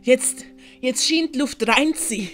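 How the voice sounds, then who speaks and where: A woman playing a shy, kind-hearted little boy with a high voice and a Swiss German accent. He speaks slowly and hesitantly, with a polite, careful manner. Storybook music runs underneath. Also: fairly bright tone. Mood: gentle. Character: kind timid young boy.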